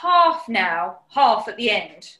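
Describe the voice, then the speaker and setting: An actress playing a plucky, blunt, sceptical British housemaid with a practical, indignant manner in a 1940s-style radio comedy. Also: female voice, fairly high pitch, big pitch swings, slow, bright, forceful tone, heavily acted. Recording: echoey room.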